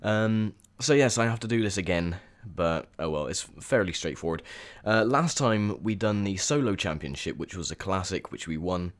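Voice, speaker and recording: A young man with a croaky, sore voice, chatting casually through gameplay commentary with lots of ums. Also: deep voice, lively intonation, moderate pace. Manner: chatty, rambling with many ums. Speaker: gaming video host.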